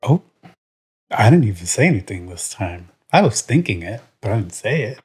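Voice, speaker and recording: A man's voice: lowish voice, big pitch swings, normal speed, darkish tone, husky. Rambling, frank male podcast co-host. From Puerto Rico. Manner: rambling, full of "um" and "like"; frank and opinionated. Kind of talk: casual podcast chat.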